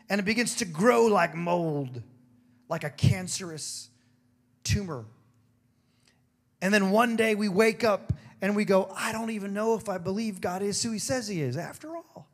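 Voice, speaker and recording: A man preaching a sermon with a throaty, hoarse voice, moving between emphatic declarations and casual, joking asides. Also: high-pitched. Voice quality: gravelly, throaty. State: hoarse after singing. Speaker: pastor.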